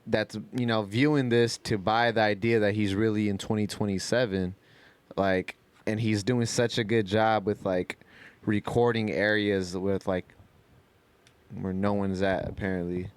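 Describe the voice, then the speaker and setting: A man co-hosting a casual podcast, speaking in a halting, slangy way. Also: fairly low pitch, steady tone, clean tone. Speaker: podcast co-host.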